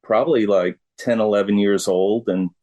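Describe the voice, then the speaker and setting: A middle-aged American man answering questions in a casual interview, speaking in an unhurried, halting way. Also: on the low side, fairly even intonation, mellow. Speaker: interview guest, drummer.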